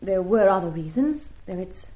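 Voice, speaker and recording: A woman's voice: medium pitch, lively intonation, moderate pace, mellow, lightly acted. An actress playing an earnest, anxious young English woman in an old-time radio drama, with precise melodramatic phrasing. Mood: sad, earnest. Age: young adult. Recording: dull vintage radio recording.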